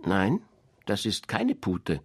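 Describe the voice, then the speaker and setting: A man's voice: wildly swooping pitch, slow pace, slightly rough, no echo, clean studio sound, heavily acted. A man reading a fairy tale aloud, voicing a fussy, proud mother duck in a raised, scolding voice. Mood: fretting. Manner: shrill, scolding, bossy. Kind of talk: storybook reading.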